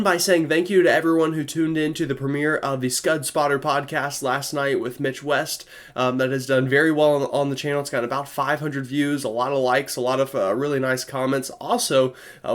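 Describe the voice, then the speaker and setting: A young man in casual forecast mode, stuffed up from a cold. He rambles through the weather with plenty of fillers and restarts. His voice sits at an ordinary middle pitch. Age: young adult. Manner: chatty, many fillers. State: congested from a cold.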